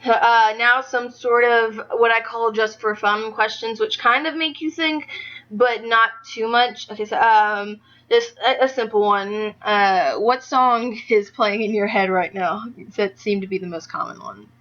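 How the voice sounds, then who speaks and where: A woman's voice: fairly high pitch, fairly even intonation, a little slow, a bit bright. A young woman doing a casual amateur interview, speaking in a hesitant, giggly way. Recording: dull lo-fi audio.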